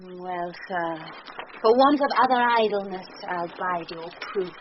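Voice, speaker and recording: A woman's voice: lowish voice, unhurried, natural voice. A woman playing a dignified, commanding noblewoman in mourning in a Shakespearean radio drama, formal in her retorts. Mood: imperious. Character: dignified noble lady in mourning, imperious but indulgent. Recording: dull, noisy 1990s radio recording.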